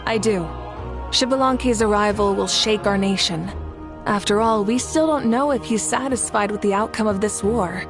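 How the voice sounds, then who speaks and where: A woman playing a calm, confident adult leader in a video game, composed, measured and reassuring. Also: medium pitch, over music, close to an everyday voice.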